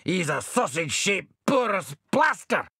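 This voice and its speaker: Rude loud talking pudding, high and cheeky, storybook; man reading. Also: sing-song, measured pace, creaky, slightly rough, no room sound, clean studio sound, full character voice. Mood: insulting. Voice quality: insulting, loud.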